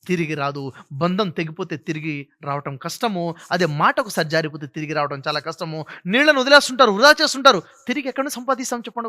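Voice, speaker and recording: A man's voice: extremely high pitch, bright, forceful tone. A man giving a motivational moral talk, emphatic and repetitive, with frequent rhetorical questions. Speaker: motivational speaker. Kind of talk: motivational speech with moral storytelling.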